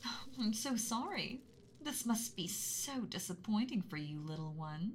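A woman's voice: mid-range voice, very animated, a little hiss or hum. A woman voicing a whispery motherly dragon. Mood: doting. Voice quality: soft breathy whisper, doting and slow. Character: gentle motherly giant female dragon. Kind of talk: whispered roleplay audio, ASMR.

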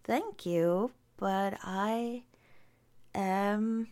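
A woman from Norway with a Norwegian accent, whose first language is Norwegian, casually reading out viewer comments and laughing softly between them. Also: medium pitch, clear, resonant tone. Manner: slow, giggly, soft-spoken. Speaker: vlogger.

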